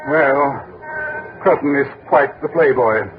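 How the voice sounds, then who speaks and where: A man's voice: mid-range voice, slow, lightly acted. An actor playing a fussy, earnest, gossipy businessman in a 1960s radio drama, with an emphatic, hesitant manner that repeats phrases. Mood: confiding, disapproving. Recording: muffled, noisy 1960s radio broadcast recording.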